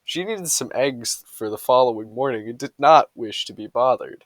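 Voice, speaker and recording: A man's voice: mid-range voice, animated, moderate pace, dry recording. A man reading humorous bedtime stories aloud with a mock-serious storytelling delivery.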